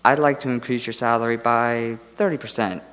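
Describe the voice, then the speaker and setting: An actor playing a courteous, quietly menacing dentist in a radio drama, speaking in a measured, insinuating way. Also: male voice, medium pitch, fairly even intonation, natural voice. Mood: smooth, sly. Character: smooth, quietly menacing male dentist running a suspect practice. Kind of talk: stage radio drama acting. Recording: echoey hall, live stage performance.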